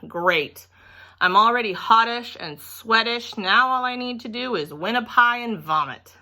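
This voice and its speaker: An indignant little girl, played by a woman, tells a storybook tale in a bright, forceful voice. She sulks and stresses her words with childish drama. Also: on the high side, unhurried, voice pushed up. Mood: sulky. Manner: emphatic, sulky, childlike phrasing. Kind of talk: children's storybook read-aloud in character.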